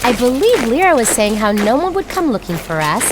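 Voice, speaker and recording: A woman playing a sarcastic, quick-witted squad member in a sci-fi audio drama, with a dry manner. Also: fairly high pitch, noisy background. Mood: dry sarcasm. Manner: dry, deadpan.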